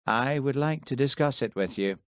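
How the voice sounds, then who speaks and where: A man's voice: medium pitch, normal speed, no echo, studio quality, voice pushed up, lightly acted. A man voicing an irritated, officious senior police detective who questions in a formal, demanding way. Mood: stern.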